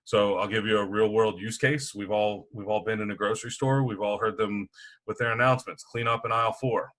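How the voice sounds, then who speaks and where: A man's voice: fairly low pitch, level tone, brisk, fairly bright tone. A man presenting sales training in an informal, explanatory style.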